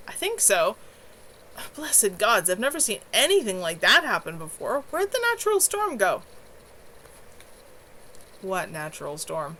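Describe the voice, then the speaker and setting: A young male mage from a fantasy storybook read by a woman speaks plainly in short, casual questions and replies. The voice is lifted a little in pitch, over clear background noise. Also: sharp tone, full character voice.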